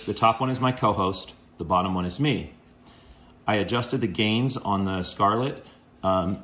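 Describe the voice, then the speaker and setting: A man in his forties, a podcast host, walking through a casual how-to at home with a low voice and a relaxed, rambling manner full of fillers. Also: slight background noise. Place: home kitchen, indoors.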